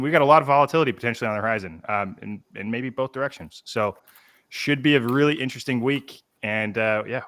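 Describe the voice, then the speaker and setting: Bright-voiced man hosting a casual stream. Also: medium pitch, no room sound. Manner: loose and chatty, many fillers and restarts. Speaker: podcast host. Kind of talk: casual livestream discussion.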